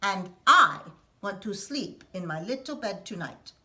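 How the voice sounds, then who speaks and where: A woman voicing a wise old village witch who speaks in a measured, firm way in a read-aloud storybook. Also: mid-range voice, expressive, pushed and bright, voice pushed down.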